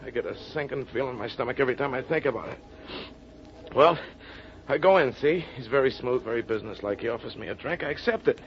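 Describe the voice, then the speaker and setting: A man's voice: medium pitch, expressive, fast, close to an everyday voice. A man playing a frightened, queasy small-time crook with an American accent, slangy and agitated, in 1940s radio noir style. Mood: horrified, nauseated. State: sounds sick. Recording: muffled, noisy 1940s radio recording.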